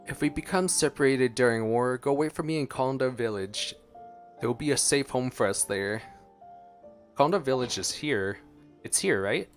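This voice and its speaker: A man's voice: medium pitch, normal speed, faint music behind. A man who streams games, reading along and commenting during a gameplay reaction. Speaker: game streamer.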